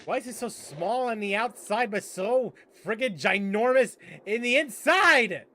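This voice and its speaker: Sarcastic man doing game commentary, high voice, animated swings. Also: unhurried, a little hiss or hum. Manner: sarcastic, incredulous. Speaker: gaming channel host. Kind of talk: let's-play commentary.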